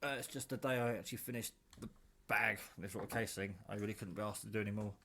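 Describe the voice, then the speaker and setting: A man's voice: lowish voice, fairly even intonation, quick pace, vocal fry, sharp tone, a little hiss or hum. A British man talking casually and off the cuff in his kitchen, rambling informally as he shows a hobby project. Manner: rambling, informal, mildly sweary. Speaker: hobbyist vlogger presenting own radio build. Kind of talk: casual unscripted demonstration talk. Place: home kitchen.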